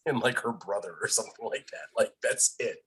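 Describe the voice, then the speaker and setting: A man's voice: medium pitch, fairly quick, fairly bright tone, husky. A man chatting as a podcast guest in an informal, opinionated way, blunt and emphatic about his points. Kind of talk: casual opinionated chat.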